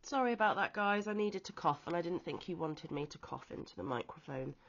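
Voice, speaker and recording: A woman from the UK who is ill and wheezy with a chesty cough, chatting casually off camera about a crochet magazine with frequent pauses and ums. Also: fairly low pitch, animated, muffled recording. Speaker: crochet vlogger. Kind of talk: casual show-and-tell chat. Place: at home, off camera.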